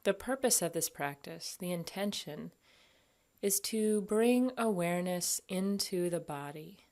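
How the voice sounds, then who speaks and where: A woman guiding a meditation as an instructor, speaking gently and without hurry, leaving long pauses. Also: fairly low pitch. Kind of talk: guided body scan meditation.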